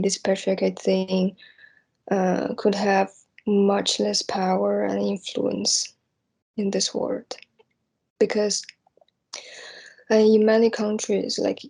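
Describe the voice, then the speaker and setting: A young woman from East Asia speaking off the cuff and reflectively, hesitant and halting as she searches for words. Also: medium pitch, gravelly, warm tone, breathy edge. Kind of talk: unscripted spoken reflection on personal hopes.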